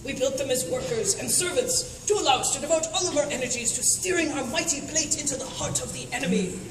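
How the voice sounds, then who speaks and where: A woman's voice: sits high, fast, natural voice. A woman playing a pompous, insulting queen of an underground empire in comedic radio theatre. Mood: haughty explaining. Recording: echoey noisy hall, far from mic.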